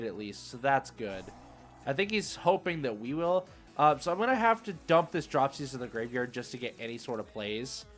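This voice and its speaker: Male gamer, mid-pitched, informal play-by-play thinking aloud, music underneath. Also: a bit bright. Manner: chatty, informal, narrating each move as it happens. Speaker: video game streamer. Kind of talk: casual gameplay commentary, thinking aloud.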